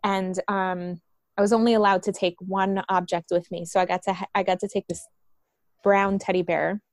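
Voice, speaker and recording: A woman's voice: mid-range voice, level tone, normal speed, no echo, clean studio sound. A woman from Colombia with an American accent, a guest telling her life story in an earnest, open way. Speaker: guest, vitality coach and author. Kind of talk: casual interview storytelling.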